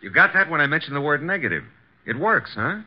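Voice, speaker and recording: An actor playing a brash, breezy detective's assistant in a 1950s radio drama, talking in flippant slang. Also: male voice, fairly high pitch, big pitch swings, fast talker. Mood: curious, flippant. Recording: noisy, dull vintage 1950s radio recording.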